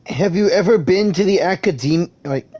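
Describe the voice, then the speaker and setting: A man's voice: sits high, normal speed, darkish tone. A man speaking casually and informally as a gamer voicing along with a video game.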